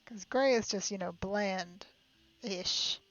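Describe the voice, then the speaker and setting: A woman's voice: mid-range voice, slow, muffled recording. A woman doing casual live commentary on a game, playful and full of wry asides. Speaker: game streamer. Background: faint game music.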